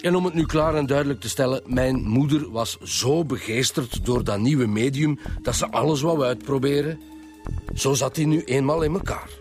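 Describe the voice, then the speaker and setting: A man's voice: mid-range voice, normal speed, faint music behind, lightly acted. A man with a Flemish accent playing a defensive, self-righteous husband under police questioning in a radio drama. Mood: irritated, fed up. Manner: self-righteous, weary, curt. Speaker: actor in a radio detective serial.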